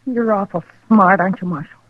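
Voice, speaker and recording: A woman playing a defiant, desperate outlaw's woman in a radio western, sharp-tongued with rough rural speech. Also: medium pitch, brisk, no echo, lightly acted. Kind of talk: 1950s radio western acting. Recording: muffled, dry old radio recording.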